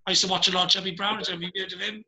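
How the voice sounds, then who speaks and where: A man's voice: sits high, level tone, racing, sharp tone. A Welsh man hosting a casual chat over a video call, rambling with lots of fillers and restarts. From Wales. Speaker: podcast host.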